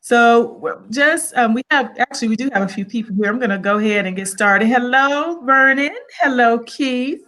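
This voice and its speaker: A woman's voice: a little high, normal speed, few pauses, fairly bright tone. A woman hosting a live online interview, upbeat, greeting viewers and guiding the conversation. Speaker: host.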